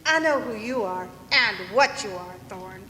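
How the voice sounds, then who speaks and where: A woman's voice: medium pitch, expressive, measured pace, bright, forceful tone. An actress playing a tough, haughty middle-aged female con artist in a noir radio drama, snappy and brassy. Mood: haughty, sharp. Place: large hall, live stage performance. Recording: echoey hall, faint noise, live stage performance.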